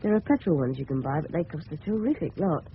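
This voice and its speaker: A man's voice: a little high, lively intonation, quick pace. A male actor playing a polite, earnest, self-conscious English schoolboy of fourteen in a radio drama. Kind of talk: radio drama acting with inner monologue. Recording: noisy tinny old radio recording.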